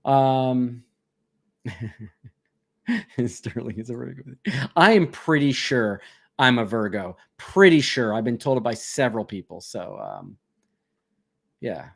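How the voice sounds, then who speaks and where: A man's voice: mid-range voice, big pitch swings, takes it slowly, vocal fry, pauses often, a bit bright. A man hosting a casual live question-and-answer stream, reading viewer questions aloud and answering them chattily with frequent laughs.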